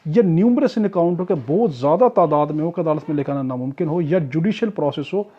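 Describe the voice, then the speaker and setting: A man's voice: fairly high pitch, animated, a little fast, breathy edge. A man with a Pakistani accent giving an explanatory law lecture as a tutor, mixing in English terms, repeating points and answering his own questions. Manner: didactic, repetitive, asks and answers own questions. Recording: dull, muffled lo-fi audio.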